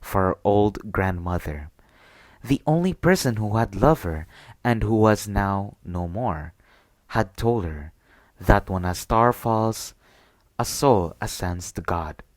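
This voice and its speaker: Guy doing asmr bedtime story, super slow, pitch moves around a lot, gentle storyteller. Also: expressive, no echo.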